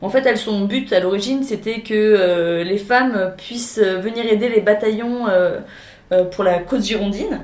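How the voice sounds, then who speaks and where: A woman co-hosting a casual discussion show, explaining facts in a halting way with many fillers. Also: medium pitch, a little mellow. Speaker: podcast co-host. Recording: echoey room.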